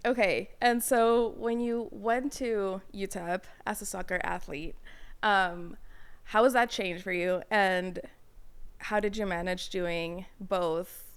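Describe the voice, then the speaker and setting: Smooth-voiced woman hosting an interview. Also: medium pitch, steady tone, takes it slowly. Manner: friendly, with frequent short affirmations. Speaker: podcast host. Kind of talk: casual conversational interview.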